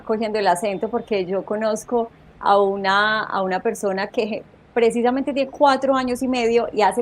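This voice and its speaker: Woman hosting a faith interview show. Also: medium pitch. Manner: warm devout greetings, loose self-correcting phrasing. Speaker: host. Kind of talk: welcoming interview on a faith program.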